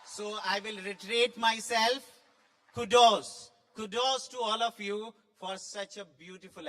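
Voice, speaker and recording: A man's voice: high-pitched, a bit bright. A man giving an effusive, emphatic impromptu speech as a judge at a school play event. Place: large school hall. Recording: echoing hall with long reverb.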